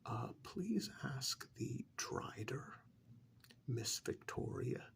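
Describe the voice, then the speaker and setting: A fussy, courteous official, acted out by a man, speaks in a soft ASMR whisper. His delivery is extremely slow and deliberate, with heavy creak roughening the edges. He sounds formal and methodical, pausing hesitantly as he goes. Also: mid-range voice, sing-song, exaggerated voice. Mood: officious, apologetic. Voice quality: soft, breathy whisper with gravelly edge. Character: polite, fussy, methodical male tax official auditing non-human clients. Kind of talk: soft-spoken ASMR role-play.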